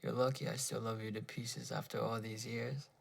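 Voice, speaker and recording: Weary, pleading wife voiced by a gravelly man. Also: medium pitch, level tone, normal speed. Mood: reluctant, fond. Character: lonely pregnant wife, weary, reluctant but loving. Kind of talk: dramatized horror narration dialogue.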